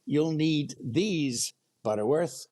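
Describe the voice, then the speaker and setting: A man's voice: on the high side, expressive, takes it slowly, darkish tone. A man playing a hapless, befuddled and meek fellow who gets flustered, in an old-time radio comedy.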